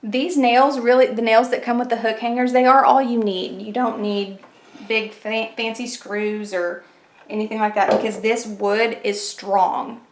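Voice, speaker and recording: A woman's voice: a little high, steady tone, unhurried. A woman hosting a craft tutorial, chatty and step by step, fighting a cold and losing her voice. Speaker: craft channel host. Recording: echoey room, faint kitchen clatter.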